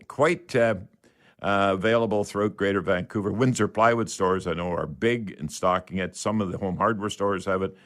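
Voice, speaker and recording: An older man with a Canadian accent hosting a home improvement call-in radio show, talking in a folksy, rambling way like a friendly salesman making a pitch.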